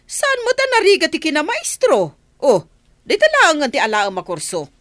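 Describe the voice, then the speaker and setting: Radio drama mother: woman, stern, exasperated, very animated pitch swings. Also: moderate pace, dry recording, studio quality, heavily acted. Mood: scolding. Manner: scolding, insistent, exasperated. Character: stern, status-conscious mother of grown children.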